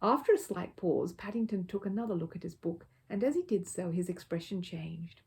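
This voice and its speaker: A woman reading a children's storybook aloud as an expressive narrator. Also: on the low side, brisk. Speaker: storybook reader.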